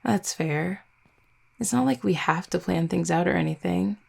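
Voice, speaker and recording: A woman playing an affectionate, gently teasing vampire girlfriend in an intimate roleplay audio, speaking tenderly and without hurry. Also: fairly low pitch, moderate pace, creaky, husky, heavily acted. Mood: relaxed, affectionate. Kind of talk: intimate roleplay audio, soft conversational acting.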